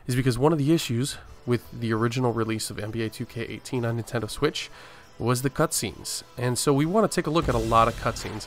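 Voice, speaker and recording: A man's voice: medium pitch, fairly bright tone. An American man from Arizona hosting a gaming video with casual gameplay commentary. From Arizona, United States. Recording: voice-over with faint game music.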